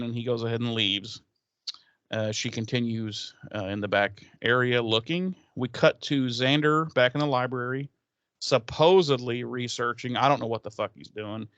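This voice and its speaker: Smooth-voiced sweary male podcaster, close and dry. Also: mid-range voice, steady tone, moderate pace, a bit bright, dry recording, lo-fi sound. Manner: sweary, sarcastic, rambling. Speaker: podcast co-host. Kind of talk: casual episode recap.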